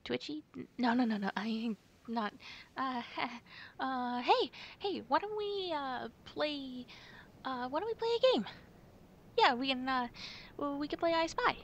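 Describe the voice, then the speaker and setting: A woman's voice: high-pitched, lively intonation, a little slow, a little hiss or hum, voice pushed up. A woman playing a nervous, twitchy wolf girlfriend in an ASMR roleplay, stammering and hesitant as she hides something. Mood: nervous, evasive.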